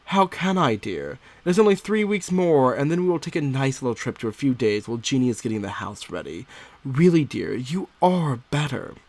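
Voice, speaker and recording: A man's voice: medium pitch, very animated, moderate pace, a little mellow, dry recording. A man voicing a patronising Victorian physician husband, fond but stern, in condescending, emphatic audiobook dialogue. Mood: dismissive, reassuring. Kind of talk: dramatic audiobook character dialogue.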